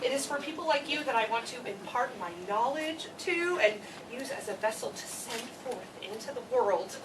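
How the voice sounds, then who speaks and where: Heard from across a room through clear background noise, a woman acts out a quirky female teacher in a stage reading. Her voice is fairly high and her delivery is effusive and overenthusiastic. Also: animated, moderate pace, close to an everyday voice. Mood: upbeat. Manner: effusive, gushing. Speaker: actor in a staged play reading. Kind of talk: live theatre play reading. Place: read before a live audience, far camera. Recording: noisy, distant room recording.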